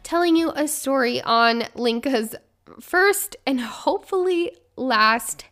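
A woman's voice: high voice, lively intonation, takes it slowly, a bit bright. A woman hosting a casual podcast, chatty and upbeat, her voice a little stuffy as she gets over the flu. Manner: chatty and upbeat, rambling storyteller. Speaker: podcast host.

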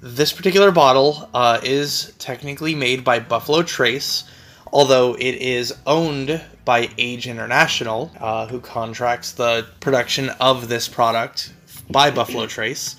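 A man's voice: mid-range voice, fairly even intonation, a little slow, fairly bright tone. A man co-hosting a casual bourbon tasting chat, speaking in a dry, matter-of-fact and knowledgeable way. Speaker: co-host of a bourbon show.

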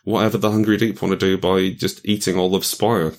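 Low-voiced man brainstorming out loud. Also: flat delivery. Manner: halting, repeats phrases as thinking aloud. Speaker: game designer guiding a tabletop roleplaying session. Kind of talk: casual collaborative brainstorming.

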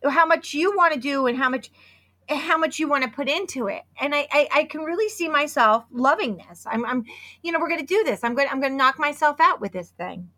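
Hoarse fifty-something lady chatting on a podcast, kinda high, bright sharp voice, keeps restarting. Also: brisk. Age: 50s. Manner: chatty and excitable, with many restarts. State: hoarse, losing her voice. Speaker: podcast co-host. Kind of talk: casual podcast chat with sponsor read.

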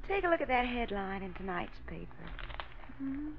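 A woman's voice: on the high side, big pitch swings, moderate pace. An actress playing a shrewd, hard-boiled girlfriend, coaxing and insinuating in slang, in 1940s radio crime drama style. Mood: sly, coaxing. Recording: muffled old radio recording, faint noise.

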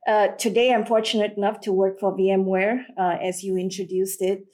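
A woman's voice: mid-range voice, little pitch movement, fairly quick, mellow. A middle-aged woman, a guest candidly telling stories about her career in an interview. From Malaysia. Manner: candid, anecdotal, frequent fillers. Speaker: guest, sales executive. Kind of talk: storytelling in an interview.

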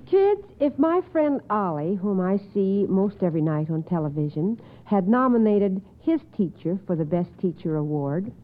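A woman's voice: mid-range voice, very animated, normal speed, hardly any pauses, a little hiss or hum. Tinny old radio, formal woman quizmaster. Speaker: quiz show host. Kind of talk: live radio quiz hosting.

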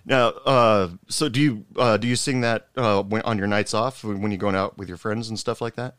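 A man hosting a podcast talks in a low, smooth voice that sits dry and close to the mic. He rambles a little, restarting and rewording his questions as he goes. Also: fairly even intonation, moderate pace, fairly bright tone, dry recording. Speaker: podcast host. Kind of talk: casual interview chat.

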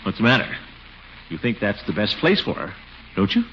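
A blustering, swooping, flustered uncle. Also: male voice, on the low side, sing-song, moderate pace, a bit bright. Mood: worried, hesitant. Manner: blustering, sputtering, exasperated. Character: flustered, pompous middle-aged uncle and town commissioner. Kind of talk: 1940s-50s radio comedy acting. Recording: noisy, muffled old radio broadcast.

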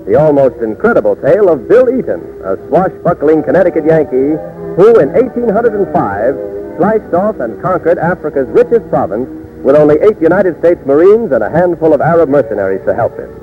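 Casting: male old-time radio narrator, dramatic and lively, scripted delivery, phone-like vintage sound. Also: on the high side, a little fast. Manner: dramatic, declamatory. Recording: tinny old radio broadcast. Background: music.

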